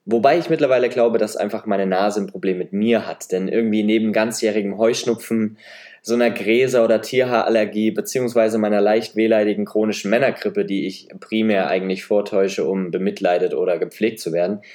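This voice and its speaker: A man's voice: on the low side, fairly even intonation, brisk, a little mellow. A man in his twenties with a nasal voice and a slight Saxon German accent, hosting a solo podcast in a chatty, self-deprecating way. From Leipzig, eastern Germany. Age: 20s. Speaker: podcast host. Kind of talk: semi-scripted solo podcast monologue. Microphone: podcast microphone.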